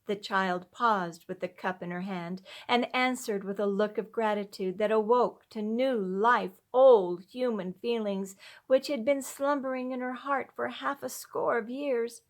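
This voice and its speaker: A woman narrating a classic story in an expressive, sentimental, dramatic storybook manner. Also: fairly high pitch, a little slow. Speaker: storyteller reading a classic tale. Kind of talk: expressive scripted narration of old-fashioned story.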